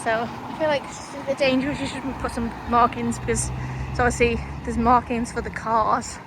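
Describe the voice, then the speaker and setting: Vlogger woman with a softened Liverpool accent, rambling and chatty, a touch high-pitched, recorded on a phone with traffic noise behind. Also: level tone, moderate pace. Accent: soft Liverpool with Lancashire influence. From Liverpool, England. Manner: rambling, chatty, with frequent fillers and laughs. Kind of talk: casual vlog chat. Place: town street, then in a parked car.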